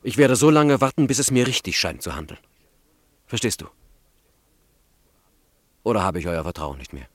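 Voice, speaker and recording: An actor playing a brooding young student revolutionary in a radio drama, intense, deliberate and defensive. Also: male voice, lowish voice, very animated, slightly rough, dry recording. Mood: heated, defensive. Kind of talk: dramatic radio play dialogue.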